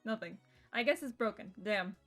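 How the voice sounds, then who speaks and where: A woman streamer reading visual novel narration aloud in a playful, expressive storytelling way, with casual asides. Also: medium pitch, moderate pace. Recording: music behind the voice.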